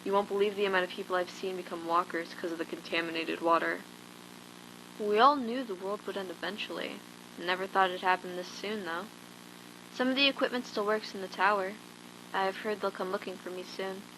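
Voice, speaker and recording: A woman's voice: medium pitch, steady tone, a little fast, noisy background, lightly acted. A woman playing a hardened, blunt zombie-apocalypse survivor, delivering a scripted survival log in an instructive, matter-of-fact way. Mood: grim, urgent.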